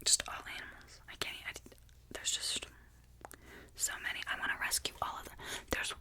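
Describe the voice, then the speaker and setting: A young woman from Canada, whispering to a close mic as she rambles casually with many fillers and restarts, in the style of ASMR. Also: normal speed. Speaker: ASMR creator.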